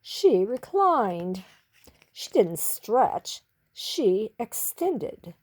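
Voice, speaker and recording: A woman reading a children's story expressively, with theatrical, drawn-out emphasis on key words. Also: medium pitch, big pitch swings, darkish tone, breathy edge.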